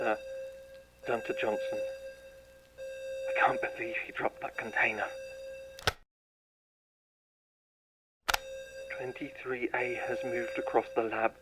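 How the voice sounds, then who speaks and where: A man voices a lab scientist in a horror audio drama, dictating test logs in a measured, clinical tone. His voice sits at an ordinary middle pitch for a man. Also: low-quality recording. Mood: frightened. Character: male lab scientist logging tests, growing frightened. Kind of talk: scripted horror audio narration.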